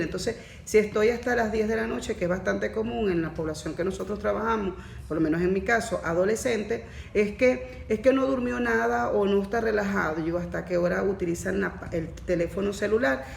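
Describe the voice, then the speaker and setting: A woman with a strongly gravelly, creaky voice explains her points patiently in a podcast discussion and puts pointed questions to the others. The room is echoey, with a faint hiss underneath. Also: mid-range voice. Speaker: neurodiversity course facilitator.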